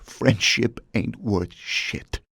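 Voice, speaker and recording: A man's voice: mid-range voice, very slow, vocal fry, very noisy. A man playing a bitter, menacing American mobster who taunts an old friend in a crime game. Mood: wounded, bitter. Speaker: voice actor in a game cutscene. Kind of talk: dramatic crime game acting.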